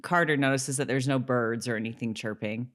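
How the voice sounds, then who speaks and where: Female podcast co-host, notably low register, informal and conversational recap delivery. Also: fairly quick. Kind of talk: casual episode recap chat.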